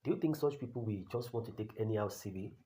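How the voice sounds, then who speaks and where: A man's voice: lowish voice, fast talker, dark tone. A Nigerian man with a Nigerian accent giving a blunt, repetitive tutorial as an online career coach. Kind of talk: talking-head tutorial.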